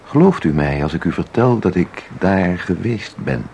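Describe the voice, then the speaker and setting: A man's voice: low voice, animated, normal speed, vocal fry, a little mellow, a little hiss or hum. An actor playing an intense, mysterious man in a radio drama, speaking with insistent, theatrical deliberation. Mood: probing, self-assured. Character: intense, mysterious man who claims to enter paintings. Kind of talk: Dutch radio drama acting.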